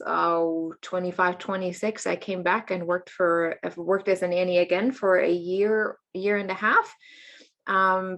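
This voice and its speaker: A woman from Germany speaks in a fairly low voice. She is in her late thirties and tells her personal story in an interview, open and chatty, often breaking into laughter. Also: fairly bright tone. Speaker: guest, coach and healer.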